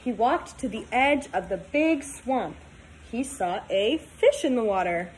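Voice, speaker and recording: A woman in her twenties reading a storybook aloud to young children, talking in simple, sing-song, animated phrases. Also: fairly high pitch, measured pace, slight background noise, rough recording. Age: 20s. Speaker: teacher reading aloud to pupils.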